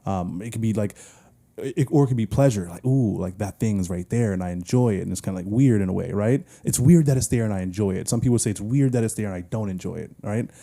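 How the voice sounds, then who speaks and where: Dark, low-voiced man musing on a podcast. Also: quick pace, gravelly. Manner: musing, loose, full of "like" and "you know". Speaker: podcast co-host. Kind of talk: casual chat.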